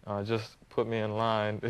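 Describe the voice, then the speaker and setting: A man in his twenties from Harlem, answering interview questions hesitantly, with many pauses and fillers. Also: on the low side, completely flat delivery, smooth. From Harlem, New York. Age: 20s. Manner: hesitant, many ums and you-knows, soft-spoken. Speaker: guest, young entrepreneur.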